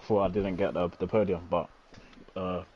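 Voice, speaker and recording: A man's voice: low-pitched, steady tone, normal speed. A man racing driver recounting his race in a hesitant, rambling way with lots of fillers. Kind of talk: casual post-race chat. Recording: muffled voice chat with faint hiss.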